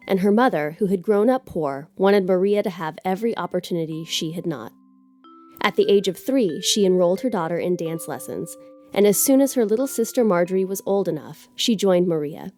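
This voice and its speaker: A woman reading a scripted story aloud as a narrator, measured and articulate. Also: on the low side, warm tone. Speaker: guest story reader.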